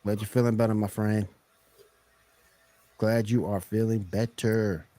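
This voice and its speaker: A man's voice: fairly low pitch, fairly even intonation, measured pace, dark tone. A man hosting a casual podcast chat, laid-back and fond of repeating a phrase for laughs. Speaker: podcast host.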